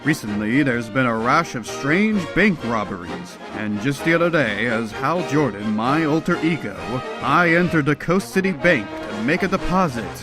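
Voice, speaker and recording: A man's voice: mid-range voice, animated, moderate pace, few pauses, over music, lightly acted. A man playing a confident, earnest comic-book superhero recounting his exploits. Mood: matter-of-fact. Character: confident adult male superhero, earnest and quick-acting. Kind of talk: comic book performance, first-person recounting.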